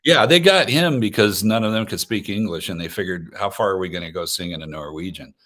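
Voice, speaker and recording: A rambling man with a fairly low voice, chatting casually about concerts. He jokes a lot, restarts his sentences and talks over himself. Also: a little fast, fairly bright tone. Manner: rambling, self-interrupting, joking. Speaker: guest.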